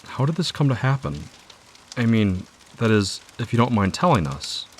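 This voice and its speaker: A woman's voice: a bass voice, very animated, normal speed, slight background noise. A woman playing a bossy, sociable party guest in an audio drama, with an insistent, coaxing way of talking. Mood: cautious.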